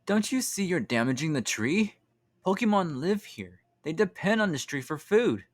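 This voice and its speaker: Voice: mid-range voice, very animated, moderate pace, no room sound. A voice actor playing an earnest, principled young rookie Pokemon explorer in a comic dub, hesitant but ready to protest. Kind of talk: animated comic dub acting.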